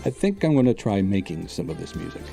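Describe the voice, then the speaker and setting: A man with a very deep, low voice answers interview questions in a relaxed, thoughtful way, pausing as he gathers his words. Loud music plays clearly under him. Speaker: guest composer. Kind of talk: interview answers, clipped and repeated in the edit.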